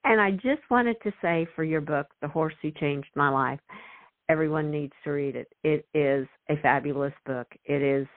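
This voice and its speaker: A woman's voice: low-pitched, moderate pace, dry recording. A woman hosting a radio talk show, effusive and enthusiastic. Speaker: host. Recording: tinny phone line.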